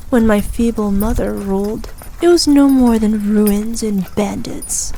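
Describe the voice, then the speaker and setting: A woman performs a haughty evil queen in an ASMR roleplay, speaking slowly in a fairly high, soft-edged voice. She is imperious and ruthless, yet indulgent toward her favoured knight. Some background noise sits behind her words. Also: close to an everyday voice, poor audio. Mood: proud, grandiose. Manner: regal, imperious, measured and indulgent. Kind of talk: ASMR roleplay, scripted character acting.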